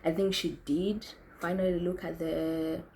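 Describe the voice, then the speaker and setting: A woman's voice: fairly low pitch, level tone, a little slow, mellow, a little hiss or hum. A young woman from Kenya reflecting on her own experiences in a thoughtful, self-correcting way, often restarting her sentences. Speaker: speaker sharing experiences. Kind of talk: reflective talk to camera.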